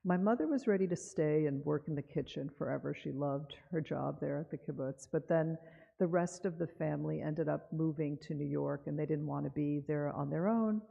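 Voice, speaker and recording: Low, gravelly woman in a reverberant chapel. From Cuba. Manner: unhurried, conversational, with frequent fillers. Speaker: author reading and speaking at a synagogue event. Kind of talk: live author talk with poetry reading. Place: synagogue chapel. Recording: dull live broadcast with long reverb.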